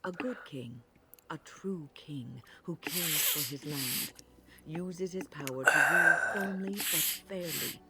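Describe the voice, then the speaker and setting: An actor voicing a wise, earnest mentor in a fantasy video game, speaking in a measured, solemn, lecturing way. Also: male voice, a little high, crowd noise. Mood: firm, impassioned. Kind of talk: scripted video game dialogue.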